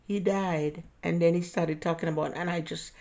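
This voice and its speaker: An older woman with a Jamaican accent tells stories about her own life in a loose, rambling way, often correcting herself and laughing softly. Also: on the low side, fairly even intonation, moderate pace. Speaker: interviewee. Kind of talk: casual personal storytelling.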